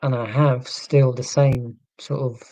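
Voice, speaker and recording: Middle-aged guy, neutral southern english accent, slow careful talk, mellow voice, dry sound. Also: medium pitch, flat delivery, dry recording, low-quality recording. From Scotland, raised in England. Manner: slow, deliberate speech with pauses. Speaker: vlogger. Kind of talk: casual reflective monologue.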